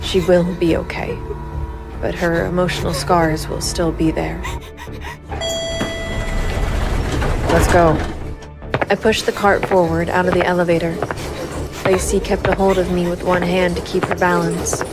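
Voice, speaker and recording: A woman's voice: on the low side, level tone, normal speed, over loud music, lightly acted. A woman playing a cold, composed vigilante investigator, narrating a gritty noir crime audio drama in a controlled, stern way. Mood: determined, sombre. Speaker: narrator and lead character.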